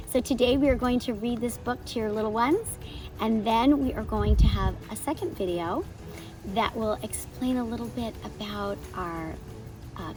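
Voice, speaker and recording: A woman hosting a children's read-aloud lesson in a friendly, upbeat way, speaking simply to parents and little ones. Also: on the high side.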